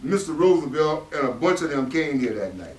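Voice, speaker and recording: A man playing a proud, gruff working-class father, carrying old grief, in a staged play reading. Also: a little high, sing-song, brisk. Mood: bitter, grave. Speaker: actor in readers theatre. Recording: echoey room from far off.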